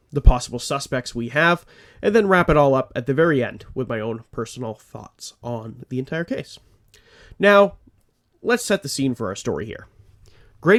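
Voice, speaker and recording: Congested man hosting a true crime podcast. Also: medium pitch. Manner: earnest, rambling asides. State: congested, a little phlegmy. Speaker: podcast host.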